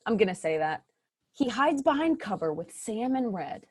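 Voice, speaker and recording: A woman's voice: a little high, sing-song, a little mellow, breathy edge. A woman narrating a stage play, playful and amused at her own jokes. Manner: playful, self-amused, slow.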